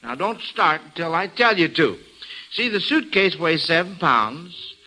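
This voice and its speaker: A man's voice: fairly high pitch, expressive, a little slow, bright, forceful tone, low-quality recording. A man with an American accent hosting a classic radio quiz show, with folksy, chatty patter for kids and listeners. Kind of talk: classic mid-century radio hosting, part scripted and part ad-libbed.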